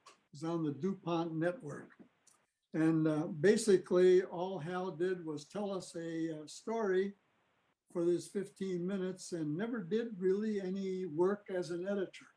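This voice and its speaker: A man's voice: high-pitched, fairly even intonation, unhurried, mellow, low-quality recording. A man giving an informal talk as presenter at a club meeting over a video call. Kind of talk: informal talk with audio clips.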